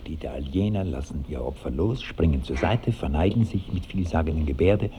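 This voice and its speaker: An actor serving as the composed narrator of a radio drama, reading scripted stage directions. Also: male voice, very deep voice, expressive, a little fast. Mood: descriptive. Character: composed male narrator of a romantic comedy. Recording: dull old broadcast, faint hiss.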